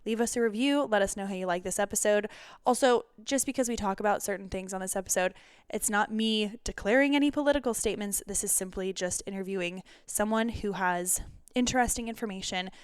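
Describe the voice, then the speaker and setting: Casting: young woman podcast host, rambling and chatty, smooth clear tone, dry close sound. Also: medium pitch, a little fast, no room sound. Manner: chatty, rambling, self-interrupting. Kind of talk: solo intro then interviewing.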